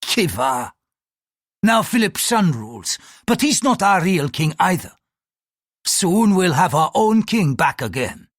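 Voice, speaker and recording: An audiobook reader voicing an irritable, fanatical Portuguese priest who speaks in an impatient, accusing, forceful way. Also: mid-range voice, very animated, a little slow, slightly rough, dry recording, studio quality, voice pushed up. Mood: indignant, bitter.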